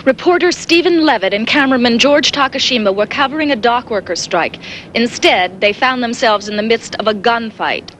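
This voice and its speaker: Female field reporter, 1980s bulletin drama: composed, formal, higher register, faint noise behind. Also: lively intonation, fairly bright tone. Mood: composed, serious. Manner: measured, formal delivery. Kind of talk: 1980s TV news bulletin acting.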